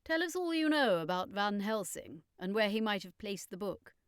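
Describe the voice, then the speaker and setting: A woman's voice: medium pitch, very animated, moderate pace, smooth, dry recording, clean studio sound, close to an everyday voice. Woman putting on an assured male circus master. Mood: assured, businesslike.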